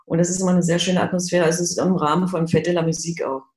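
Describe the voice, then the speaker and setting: A woman chats brightly about her gigs and her work, her speech loose and friendly. Her voice carries a roomy echo, as if she is recorded some way off in a bare space. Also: lowish voice, steady tone, rapid-fire. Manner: cheerful, chatty. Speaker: illustrator and singer. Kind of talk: casual chat.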